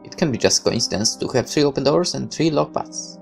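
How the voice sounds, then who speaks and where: A man with a mid-pitched voice reads a story game aloud as a let's player. He narrates expressively and slips into casual asides of his own. Music plays behind his voice. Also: expressive, a little fast, gravelly. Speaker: gaming let's player reading a visual novel aloud.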